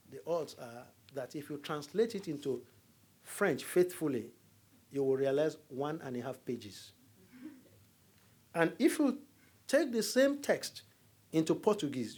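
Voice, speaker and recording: A middle-aged Nigerian man with a Nigerian accent, giving a university lecture as a diplomat, telling stories and jokes to the audience. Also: a little high. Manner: storytelling, animated, jokes with audience.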